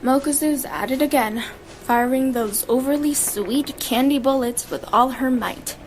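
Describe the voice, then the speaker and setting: Woman playing a high-voiced, guarded, gloomy schoolgirl. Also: unhurried, slight background noise, poor audio. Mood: brooding. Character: gloomy, guarded schoolgirl narrating her misfortunes. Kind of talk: audio drama acting with inner narration.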